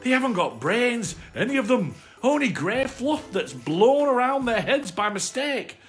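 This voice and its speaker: Someone reading as a mopey old donkey, sarcastic and sorry for himself, pitch all over the place, music behind. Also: very animated, measured pace. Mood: gloomy, aggrieved. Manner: melancholy, long-suffering sarcastic grumbling. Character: gloomy, self-pitying old donkey, male.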